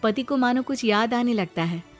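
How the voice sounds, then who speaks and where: A woman's voice: on the high side, moderate pace, soft background music. A woman narrating a scripted story.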